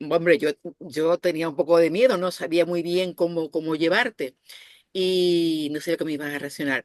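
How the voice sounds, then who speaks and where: A woman's voice: on the low side, no room sound, clean studio sound. A woman with an accent from Spain, chatting casually as an interviewer. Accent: Spanish from Spain.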